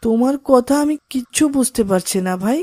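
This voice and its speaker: A woman's voice: fairly high pitch, expressive, normal speed, darkish tone, no room sound. A woman voicing an eager, curious boy in a children's cartoon, speaking in an earnest, questioning way. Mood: admiring, curious.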